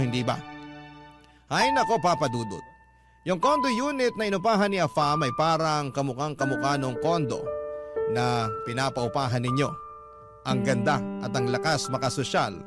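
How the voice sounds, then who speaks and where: A man with a Filipino accent tells a letter-writer's story as a radio host. His voice sits slightly high, the first-person reading is dramatic, and prominent music plays behind him. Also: expressive, normal speed. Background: loud music.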